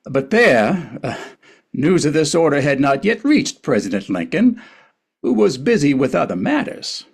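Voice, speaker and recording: A man's voice: fairly high pitch, big pitch swings, a little slow, gravelly, breathy edge. A man narrating a historical play from a script at a table reading.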